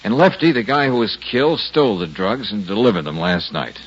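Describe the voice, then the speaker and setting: An actor playing a confident, wry private detective in a 1950s radio drama, with a brisk, wisecracking, self-assured delivery. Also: male voice, lowish voice, big pitch swings, slightly rough. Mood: wry, explaining. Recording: dull, noisy old radio recording.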